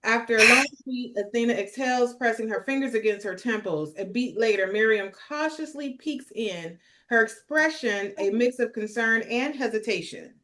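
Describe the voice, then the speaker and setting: Woman reading out stage directions, voice a bit high, scripted table read narration. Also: moderate pace, hardly any pauses, a bit bright.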